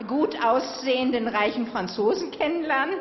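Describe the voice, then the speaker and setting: A woman's voice: high voice, lively intonation, a little hiss or hum. Woman interpreter in an echoey hall. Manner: quick renderings with hesitation sounds. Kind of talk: live consecutive interpreting. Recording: echoey hall, dull sound.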